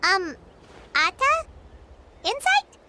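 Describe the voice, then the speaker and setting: A woman voicing a tiny childlike fairy companion in a video game, bubbly, curious and excitable. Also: very high-pitched, drawn-out words, very noisy, exaggerated voice. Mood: eager, curious. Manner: excitable, speaks of self in third person. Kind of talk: animated video game voice acting.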